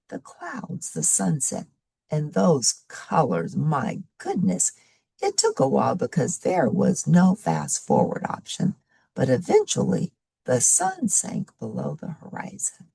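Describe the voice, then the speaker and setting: A woman plays an easygoing, amusing couch potato telling a children's storybook tale. The delivery is dramatic and unhurried, with huge swings in pitch. The voice has a creaky, gravelly edge. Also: mellow, no room sound, exaggerated voice.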